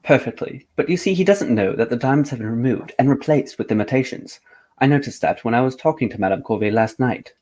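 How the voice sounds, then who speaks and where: Man acting composed, courteous gentleman detective. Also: medium pitch, animated, close to an everyday voice. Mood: composed, formal. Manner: formal, measured, polite. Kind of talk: radio drama acting.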